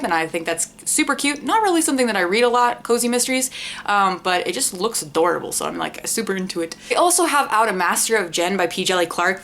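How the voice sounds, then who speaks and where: A woman's voice: medium pitch, fairly bright tone. A woman with a mid-range voice talks casually to camera like an online book reviewer. She is informal and rambles a little, dropping in self-deprecating asides and occasional swearing. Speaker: book review video creator.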